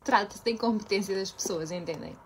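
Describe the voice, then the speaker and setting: A woman's voice: mid-range voice, fairly quick, slightly rough. A woman with a European Portuguese accent venting in a casual vlog, exasperated and laughing nervously as she talks. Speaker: vlogger venting in a video.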